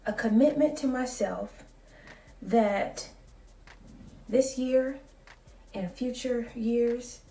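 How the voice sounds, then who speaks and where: Woman, late 30s, soft calm vlogger voice, slow and mellow, echoey room, a bit off-mic. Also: a little high, far from the mic. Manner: calm, measured and reflective. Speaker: school psychologist. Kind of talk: casual talking-to-camera vlog.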